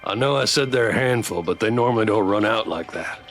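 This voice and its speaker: A man voicing a big, warm, good-natured farmer dad from a visual novel, teasing and mock-dramatic, with music behind. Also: on the low side, a little fast. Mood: apologetic.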